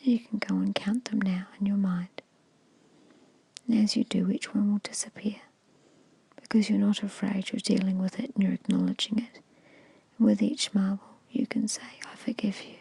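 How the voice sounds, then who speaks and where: A woman whispering a guided meditation in a slow, soothing, hushed storytelling manner, close to the microphone. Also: medium pitch, flat delivery, low-quality recording. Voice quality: breathy whisper. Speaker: hypnosis guide.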